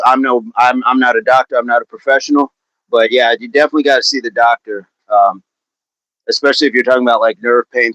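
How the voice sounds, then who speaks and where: A man's voice: mid-range voice, a bit bright, no room sound, low-quality recording. A man hosting a fitness livestream, chatting casually and answering viewer comments in an upbeat, laughing, repetitive way. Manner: chatty, upbeat, laughs often, repeats phrases.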